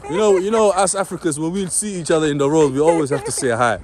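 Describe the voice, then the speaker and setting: A man's voice: a little high, fast, a crowd in the background. A man chatting casually as a travel vlogger, addressing viewers and interviewing people in a friendly way. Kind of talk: casual street vlog chat. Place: outdoors on a winter city street, walking. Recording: noisy outdoor street with traffic.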